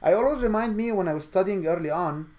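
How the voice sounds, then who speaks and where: A man's voice: high-pitched, expressive, fast talker. Earnest man with a noticeable accent. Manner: earnest, coaching, non-native grammar. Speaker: show host and speaking coach. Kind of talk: motivational monologue.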